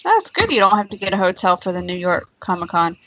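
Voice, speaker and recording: A woman's voice: lowish voice, big pitch swings, quick pace, sharp tone, dull audio, slight background noise. A woman in casual conversation on a talk show, giving her opinions in an informal, emphatic way.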